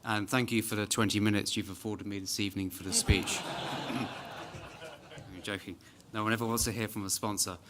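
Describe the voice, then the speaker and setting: A man's voice: on the low side, moderate pace, heavy background noise. A man making brief, self-deprecating sponsor remarks at a formal reception. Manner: self-deprecating, lightly joking. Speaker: corporate sponsor executive.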